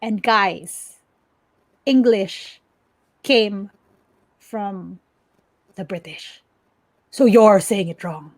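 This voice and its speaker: A woman's voice: medium pitch, very slow, pauses often, no echo. A woman from the Philippines, chatting as an outspoken, emphatic podcast co-host. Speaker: podcast co-host and tech content creator. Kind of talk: casual opinionated chat.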